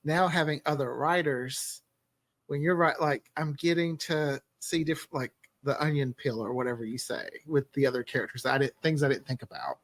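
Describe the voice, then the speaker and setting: A man's voice: mid-range voice, normal speed, no echo. A man who writes for an audio drama, chatting casually in a rambling, talkative way in a group discussion. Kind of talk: casual behind-the-scenes group chat.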